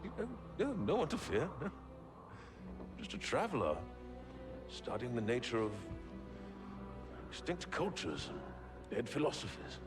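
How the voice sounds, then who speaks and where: A man voicing a sly, cryptic wandering scholar who feigns harmlessness in a video game, with halting, theatrical, insinuating delivery. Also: mid-range voice, huge pitch swings, over music. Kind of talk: video game character acting.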